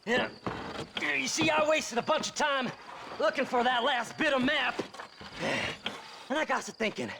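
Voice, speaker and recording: A man's voice: very high voice, a little slow, gravelly, pauses often, background noise, full character voice. An actor playing a twitchy, unhinged treasure-hunting grave robber in a Western game, with a rural American accent and rambling, stammering speech. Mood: rambling, eerie. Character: twitchy, unhinged, cheerful male grave-robbing treasure hunter.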